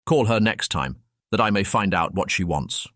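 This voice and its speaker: Storybook Sultan, played by a man: stately, formal ruler, low pitch, dry studio-like sound. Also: animated, normal speed, a bit bright, no room sound. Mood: regal, curious. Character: curious, stately male Sultan addressing subjects. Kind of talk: dramatized fairy-tale audiobook acting.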